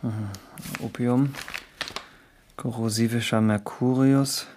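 Casting: man as formal period detective for radio crime play, medium-pitched voice, short probing questions. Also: steady tone, takes it slowly, dark tone, a little hiss or hum, natural voice. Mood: probing, terse. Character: brisk, probing male police investigator in old Paris. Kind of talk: radio crime drama acting.

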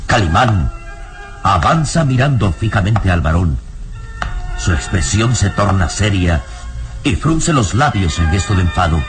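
Low-voiced male radio serial narrator over music. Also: sing-song, a little slow. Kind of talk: dramatic scripted narration, vintage radio serial.

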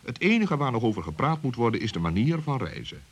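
A man narrating a scripted children's story in an expressive storytelling manner. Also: mid-range voice, fast, slightly rough.

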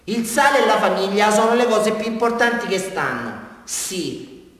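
An actor playing a deranged, naive murderer with a southern Italian accent, rambling and repeating himself childishly in a theatrical radio drama confession. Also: male voice, sits high, sing-song, normal speed, a bit bright. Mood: fervent, declaiming. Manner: rambling, repetitive, childlike, addressing his listener. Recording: very echoey hall, far from mic.

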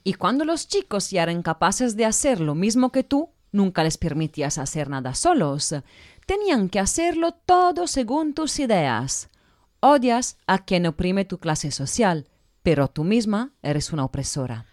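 A woman's voice: medium pitch, very animated, a little slow, a little mellow, no room sound, clean studio sound. A woman playing a blunt, sardonic commentator in an audio drama, speaking emphatically and critically. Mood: accusing, exasperated.

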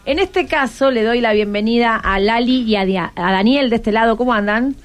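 A woman's voice: a little high, moderate pace. A woman with an Argentine accent hosting a casual radio talk from a studio, asking short encouraging questions. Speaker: radio host.

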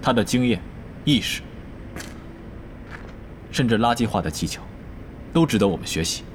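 A man acting a serious young esports team captain in an audio drama, speaking in a measured, earnest, formal way. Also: mid-range voice, lively intonation, very noisy, natural voice. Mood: calm, authoritative.

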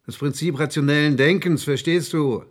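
An actor in a radio drama playing a rattled, sceptical rationalist, weary and blunt in a naturalistic way. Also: male voice, a little high, normal speed, close to an everyday voice. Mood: placating, firm.

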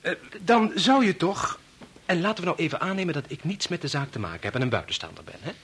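An actor playing a stubborn, persuasive bachelor in a Dutch radio play, wry, circling his point, with a slightly husky voice. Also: fairly high pitch, expressive, fast talker, fairly bright tone, exaggerated voice. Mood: insinuating. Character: idealistic unmarried man, teasing, stubborn, persuasive.

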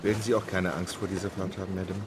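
An actor playing a composed, precise English gentleman in a radio play, speaking in a calm, clipped and formal way. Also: low-pitched, fast talker, noisy background, natural voice.

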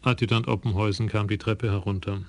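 A man narrating a radio crime play in a calm, literary way. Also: lowish voice, fairly quick. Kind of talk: scripted narration.

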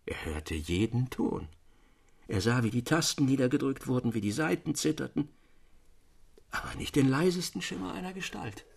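Thoughtful literary storyteller, husky, close dry sound, formal expressive narration, measured phrasing, eerie tales. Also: male voice, medium pitch, dry recording. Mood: hushed, awed.

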